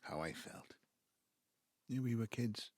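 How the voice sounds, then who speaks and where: An actor playing an embittered, wistful Welsh father in his seventies, with a South Wales Valleys accent and a halting, reflective delivery in an audio drama. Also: lively intonation, darkish tone, dry recording. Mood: reminiscing. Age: 70s. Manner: halting, reflective, breaking into low muttering. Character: embittered ageing Welsh patriarch, wistful and self-justifying. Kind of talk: naturalistic audio drama monologue.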